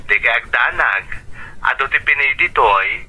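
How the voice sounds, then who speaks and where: A man's voice: mid-range voice, lively intonation, normal speed, pushed and bright. A man playing a cheerful, reassuring uncle calling from abroad in an Ilocano radio drama, through a telephone filter.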